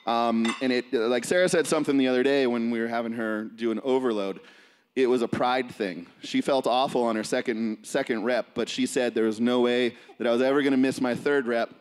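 A man's voice: mid-range voice, steady tone, fairly quick, clear, resonant tone. A man leading an informal live gym seminar as a coach, casual and motivational, talking to a group. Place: large indoor gym, speaking to seated group. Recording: reverberant gym hall, distant camera.

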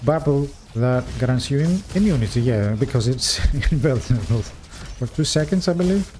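A man's voice: mid-range voice, animated, normal speed, a little mellow, slight background noise. A man with a non-native English accent giving casual gameplay commentary, reading upgrade text aloud and chatting to viewers.

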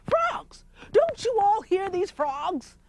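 Woman playing a shrill, bossy old chief. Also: very animated, slow, no echo, exaggerated voice. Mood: complaining. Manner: whiny, demanding, escalating to frantic shouts. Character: pompous irritable sleepless male chief.

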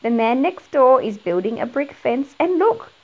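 A woman's voice: high-pitched, very animated, moderate pace, rough recording. A middle-aged woman narrating a picture book for children, explaining things and putting questions to young viewers. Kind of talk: read-aloud narration for children.

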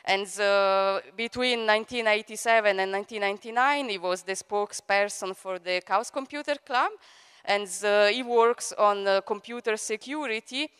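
Italian-accented woman host, hesitant with fillers, crisp voice, echoing hall. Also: mid-range voice, moderate pace. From Italy. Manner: hesitant, many fillers, warm thanks. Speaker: event director and host. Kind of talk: conference introduction speech. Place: large event hall on stage. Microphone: handheld microphone. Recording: long hall reverb.

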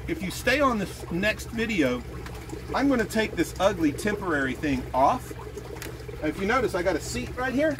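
A man in his sixties casually demonstrating boat motors, talking in a lively, rambling way and correcting himself, recorded outdoors on a small boat by a mounted camera. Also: on the high side. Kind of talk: casual product demo. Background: motor and water noise.

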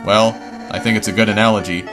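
A man voicing a big, bashful goat king who speaks softly and hesitantly. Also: steady tone, fast, faint music behind, close to an everyday voice. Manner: hesitant, soft-spoken, low.

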